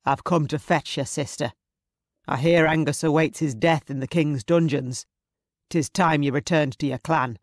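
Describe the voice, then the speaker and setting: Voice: medium pitch, fairly even intonation, moderate pace, no echo, lightly acted. A commanding middle-aged clan warrior acted out by a narrator, imperious and threatening. His archaic phrasing suits a historical storybook villain, delivered firmly in a dry, close recording. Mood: menacing. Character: menacing Highland warrior chief, commanding middle-aged man.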